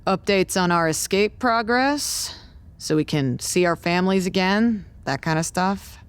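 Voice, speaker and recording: An actress playing a brusque, weary, stressed doctor in an audio drama, dry and curt in delivery. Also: female voice, lowish voice, a little slow, clear, resonant tone, lightly acted. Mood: dry, businesslike. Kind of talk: naturalistic audio drama acting.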